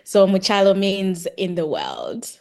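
A woman's voice: mid-range voice, wildly swooping pitch, a little slow. A middle-aged woman from Zambia answering interview questions as a guest, explaining things reflectively in a conversational, searching way. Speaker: podcast guest, tech project manager and author.

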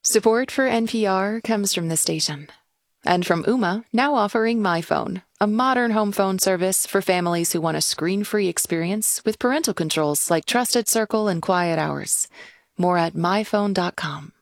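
A woman reading scripted sponsor messages in a smooth promotional manner. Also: medium pitch, normal speed, no room sound, clean studio sound. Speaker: sponsor announcer.

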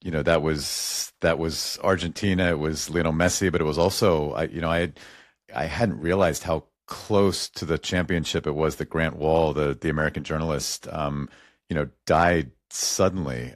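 Very low-voiced male interviewer. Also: no echo, clean studio sound. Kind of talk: scripted intro then interview questions.

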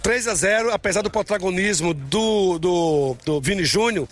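A man's voice: sits high, expressive, normal speed. A man from Brazil interviewed in the street outdoors, talkative, often repeating words as he starts. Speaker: interviewed fan. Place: outdoors on a busy city square.

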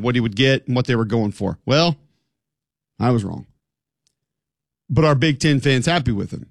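A man's voice: mid-range voice, dry recording, clean studio sound. A man hosting a sports talk show, speaking conversationally in an opinionated, emphatic way and throwing out rhetorical questions.

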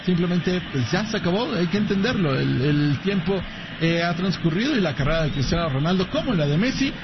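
A man's voice: high voice, lively intonation, a little fast, lo-fi sound, noisy background. A man doing live football commentary, talking through plays and opinions in a conversational, analytical way. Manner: conversational analyst, reasons aloud.

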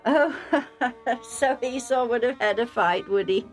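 A woman's voice: fairly high pitch, slow pace, faint music behind, pitched above the performer's own voice. Knowing storybook matriarch, scheming and teasingly pointed voiced by a female narrator. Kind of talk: dramatised Bible storytelling.

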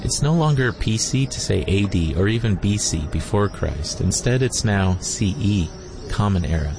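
A man's voice: on the low side, animated, moderate pace. A man telling a scripted first-person travel story in a wry, reflective way. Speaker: narrator and photographer. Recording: narration over loud music. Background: loud music.

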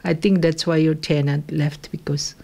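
A woman in her sixties, a little husky from a cold, chatting on a live stream and greeting viewers. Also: low-pitched, flat delivery, moderate pace, warm tone. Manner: chatty, laughs often, mixes English and Tagalog. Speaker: co-host. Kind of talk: casual live-stream chat.

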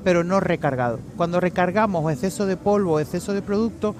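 Effusive Canarian-raised man, fairly high voice. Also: fast talker, a little hiss or hum. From Tenerife, Canary Islands. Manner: effusive, warm-hearted, affectionate. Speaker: makeup artist guest. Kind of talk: casual interview chat.

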